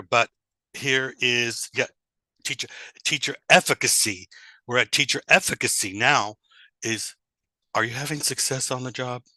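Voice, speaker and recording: Bright-voiced man lecturing with fillers. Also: medium pitch, no echo. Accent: American. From United States. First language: English. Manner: hesitant, many uh fillers, rhetorical questions. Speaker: teacher trainer giving a lecture. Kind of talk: lecture talk.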